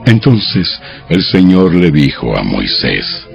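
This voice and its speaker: A man's voice: low voice, sing-song, slow pace, vocal fry. A man narrating scripture as the narrator of a dramatized audio Bible, in a dull recording. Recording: dull band-limited sound over music.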